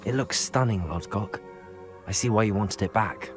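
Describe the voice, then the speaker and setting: An actor playing a polite, composed young wizard adventurer in a video game, speaking articulately. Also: male voice, on the low side, normal speed, music in the background, lightly acted. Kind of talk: video game cutscene acting.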